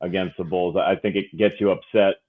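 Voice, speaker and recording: A man's voice: deep voice, level tone, fairly quick. A man co-hosting a podcast, asking rambling, playful questions. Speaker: podcast co-host. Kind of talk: casual podcast chat. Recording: tinny phone-like line.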